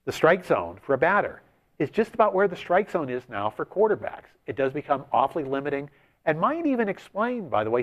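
A man's voice: a little high, muffled recording. A man co-hosting a sports betting show, explaining his analysis step by step. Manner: explanatory, builds an argument step by step.

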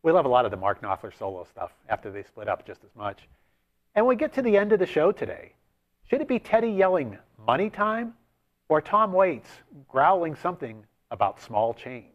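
A man's voice: on the high side, sing-song, a little fast, lo-fi sound. A man co-hosting a sports betting show, explaining his analysis step by step. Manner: explanatory, builds an argument step by step.

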